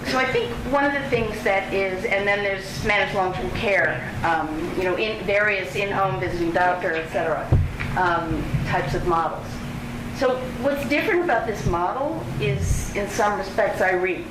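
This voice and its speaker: A woman moderating a panel discussion, measured, laying out options before asking a question. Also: mid-range voice, expressive. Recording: distant mic in an echoey noisy room.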